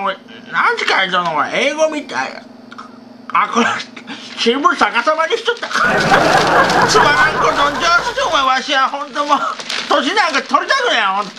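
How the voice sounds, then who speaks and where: Man voicing a forgetful old grandfather with dentures, mumbling to himself, wobbly sing-song pitch, comedy sketch. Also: noisy background. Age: older. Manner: mumbling, talks to himself, chuckles at his own jokes.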